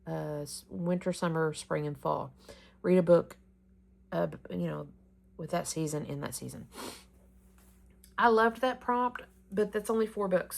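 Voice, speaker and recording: A woman's voice: on the low side, gravelly, a little mellow. A woman chatting casually about her reading challenge plans, rambling and restarting as she thinks aloud.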